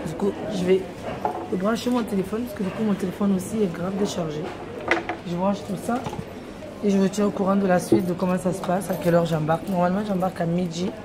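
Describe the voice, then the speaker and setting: Young female travel vlogger, lowish voice, chatty rambling, noisy airport recording. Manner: rambling, chatty narration of her day. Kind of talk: casual vlog chat to camera. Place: airport terminal and plane cabin, indoors.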